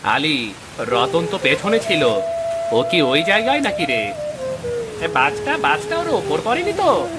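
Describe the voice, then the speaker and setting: A man voicing an anxious, excitable farmer in a cartoon moral story, exclaiming dramatically. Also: on the high side, measured pace, long pauses. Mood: worried, wailing. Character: anxious, excitable male farmer, a scolding friend. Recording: loud rain noise behind the voice. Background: rain.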